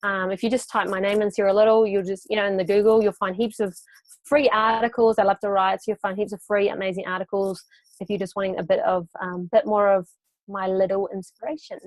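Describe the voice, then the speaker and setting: A woman business coach answering interview questions in a calm, measured, affirming way. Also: mid-range voice, dry recording, low-quality recording.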